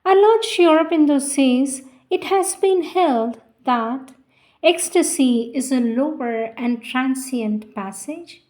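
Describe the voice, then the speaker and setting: A woman reads sacred poetry and prose in an unhurried, reverent way, with long pauses between phrases. Her voice sits a little high, and she takes each line slowly and deliberately, as a devotional reader would. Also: a little mellow. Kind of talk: slow devotional recitation of scripture and poetry.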